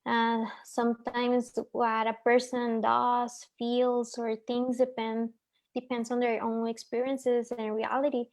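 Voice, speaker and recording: A woman's voice: a little high, level tone, slow, darkish tone. A woman whose first language is Spanish, speaking English with a Mexican Spanish accent as a panelist, earnest and reflective with hesitant restarts. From northern Mexico. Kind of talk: webinar panel discussion.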